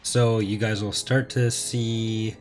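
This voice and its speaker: Low-voiced man, recovering voice, gaming commentary. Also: flat delivery, slow pace, faint music behind. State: recovering from voice loss. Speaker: host of a gaming playthrough series. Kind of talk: casual gameplay commentary.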